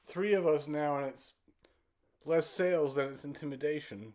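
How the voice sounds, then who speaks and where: A man reciting his own poem as spoken word, in deliberate, broken phrases with halting pauses and repeated words. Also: on the high side, a little mellow. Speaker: poet reciting own work.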